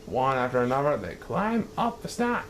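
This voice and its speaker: A man reading a rhyming children's story aloud in a sing-song, animated way. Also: medium pitch, moderate pace, a little hiss or hum.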